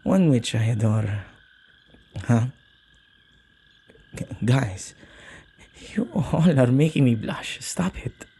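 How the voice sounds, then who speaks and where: A man acting a soft-hearted male witch who keeps ghosts, in an ASMR roleplay, with a halting, gently apologetic manner and slightly archaic wording. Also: medium pitch, animated, slightly rough, full character voice. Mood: fond, bashful.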